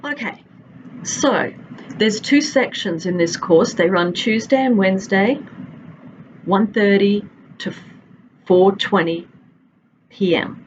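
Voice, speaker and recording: A woman's voice: medium pitch, takes it slowly, long pauses, a little hiss or hum. Australian woman lecturer, dull webcam audio. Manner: friendly, conversational, stretches out listed items. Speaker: university instructor. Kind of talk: recorded course introduction. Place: office with a large window. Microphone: webcam at desk.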